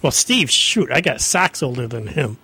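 A man's voice: medium pitch, very animated, moderate pace, creaky, sharp tone, slightly rough. A man in his sixties co-hosting a radio show, teasing the host and telling stories in a casual studio chat. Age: 60s. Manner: teasing, storytelling.

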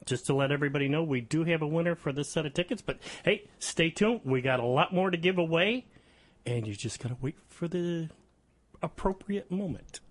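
A man co-hosting a radio show, announcing a ticket giveaway in an upbeat way. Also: brisk. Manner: lively, upbeat giveaway pitch. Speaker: radio co-host. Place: radio studio.

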